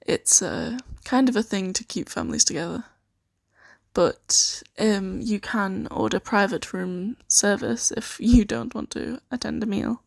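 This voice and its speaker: A woman improvising an ASMR roleplay as a kind, shy, flustered boss of a secret sanctuary, speaking softly and hesitantly with giggles. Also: medium pitch, measured pace, vocal fry, no room sound, studio quality. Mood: shy, flirtatious. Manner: hesitant, giggly, soft-spoken.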